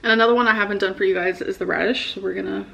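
A young American woman talks informally to her camera in a room at home. Her voice sits at a middle pitch for a woman. She speaks in a chatty, slangy vlog style, often dropping in "like". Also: fairly even intonation, very fast, creaky, fairly bright tone, rough recording. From United States. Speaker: vlogger. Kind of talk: casual chat to camera. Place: indoor living room at home.

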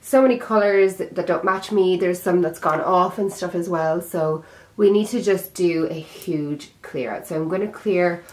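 Chatty young woman, slightly raspy. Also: on the low side, normal speed. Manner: chatty, self-deprecating, talks while handling products. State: slightly raspy from being sick. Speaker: beauty vlogger. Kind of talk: casual chat to camera.

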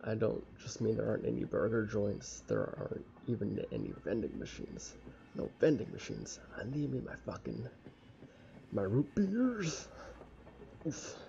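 A young man with a casual, halting, sweary manner reading a visual novel aloud and commenting as he plays. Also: fairly low pitch, wildly swooping pitch, gravelly, warm tone. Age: young adult. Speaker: let's play streamer. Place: small room at home. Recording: dull lo-fi mic with faint noise.

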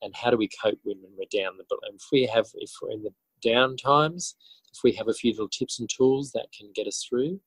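A man with an Australian accent answering interview questions conversationally, reflective and often halting to rephrase as he tells his story. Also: medium pitch, breathy edge, no echo, low-quality recording. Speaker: interview guest, author and teacher.

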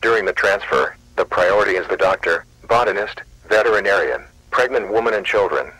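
A man's voice reading a scripted emergency alert announcement in a flat, measured, official way. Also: fairly low pitch, dry recording, low-quality recording.

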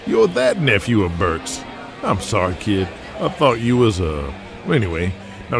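A man acting a big, folksy wrestling hero in an audio drama. His hearty cowboy talk is creaky and swings lively in pitch.

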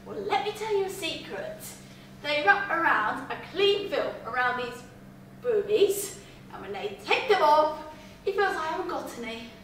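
A woman's voice: high voice, big pitch swings, normal speed, fairly bright tone, pitched above the performer's own voice. A young woman playing a brash, vain, exasperated actress in a sassy, theatrical comic stage monologue. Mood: indignant, confiding. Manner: sassy, theatrical, swearing confidante. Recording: very echoey, distant, noisy room.

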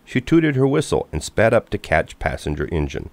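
Low-pitched man, animated storybook narration. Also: normal speed, no room sound.

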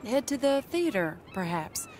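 A genteel, wistful lady in a game drama. She talks slowly and gently with a lively, swooping melody, over faint ambient noise. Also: fairly high pitch. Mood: fond, hopeful. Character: genteel, wistful young woman, fond and teasing. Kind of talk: dramatic video game acting.

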